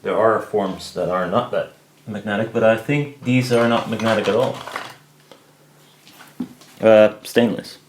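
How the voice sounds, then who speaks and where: A man's voice: fairly low pitch, fairly even intonation, measured pace, pauses often. A man giving casual, hesitant hands-on commentary, muttering to himself as he works at an indoor bench. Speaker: DIY maker. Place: indoors at a home workbench. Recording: clear background noise, clicks of handling. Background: noise and clicking.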